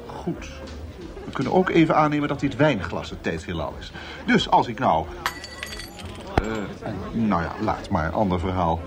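A man's voice: on the low side, animated, moderate pace, long pauses, background noise, close to an everyday voice. A man playing a breezy space traveller in a science-fiction radio comedy, casual, rambling and self-assured as he explains. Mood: breezy, explaining. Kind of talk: conversational radio play acting.

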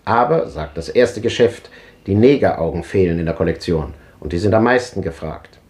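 A man's voice: low-pitched, animated, normal speed, darkish tone. An actor playing a restless, brooding old man in a German radio drama of the 1950s, musing in clipped fragments. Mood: wry, recollecting. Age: older. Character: restless, brooding old man recalling rough odd jobs.

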